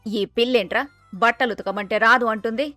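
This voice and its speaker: A woman's voice: on the high side, steady tone, brisk, pushed and bright, no room sound, soft background music. A woman playing a doting, boastful middle-aged mother who scolds in colloquial exclamations, in a dramatised moral story. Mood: indignant.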